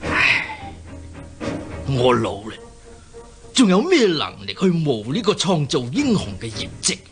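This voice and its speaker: Old scheming king, Cantonese radio play, Hong Kong accent, halting sighs, very varied pitch, clear music underneath. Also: huge pitch swings, a bit bright, exaggerated voice. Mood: solemn, persuasive. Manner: sighing, halting, unctuous. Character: old scheming usurper king feigning weary remorse. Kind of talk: Cantonese radio drama acting.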